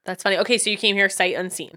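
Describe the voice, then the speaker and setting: A woman's voice: mid-range voice, fairly even intonation, racing, sharp tone. A woman chatting casually as a co-host, asking informal, curious questions. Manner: inquisitive, informal. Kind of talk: casual chat.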